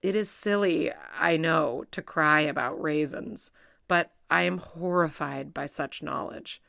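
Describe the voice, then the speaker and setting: Woman, middle-aged, gravelly tone, dry close mic, measured reflective reading. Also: low voice, a bit bright, no echo. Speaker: essay reader, psychologist. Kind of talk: reading her own personal essay aloud.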